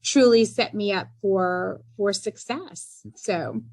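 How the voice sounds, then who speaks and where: Earnest middle-aged woman, measured pace. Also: mid-range voice, lively intonation, a little mellow. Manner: measured and earnest, with frequent "you know" and "um" fillers. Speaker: entrepreneur guest. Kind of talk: podcast interview.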